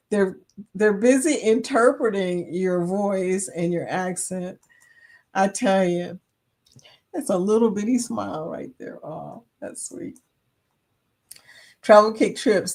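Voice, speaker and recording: A middle-aged woman hosting a casual live chat, reading viewer comments aloud and laughing often. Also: mid-range voice. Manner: laughs often, chatty and animated, reads out comments. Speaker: livestream chat host.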